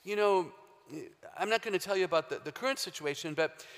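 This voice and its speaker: A woman with a very low, deep voice giving an emphatic, humorous faith talk as a guest speaker, quizzing a live audience in a large hall. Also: a little fast, clear, resonant tone. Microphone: handheld or stage microphone. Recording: long hall reverb, live stage talk.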